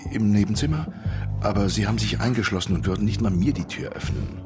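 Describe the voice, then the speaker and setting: A man's voice: lowish voice, expressive, fast talker, over music, natural voice. A man playing a wary, streetwise underworld house boss in a German radio crime drama.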